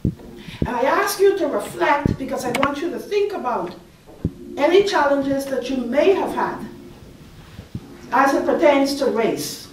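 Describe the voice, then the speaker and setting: A middle-aged woman from the Bahamas with a Bahamian accent, leading a slow, soothing guided meditation on stage as a panel artist. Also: high-pitched, lively intonation, noisy background. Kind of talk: guided meditation address to an audience. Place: large hall, on stage. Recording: echoey hall from far off.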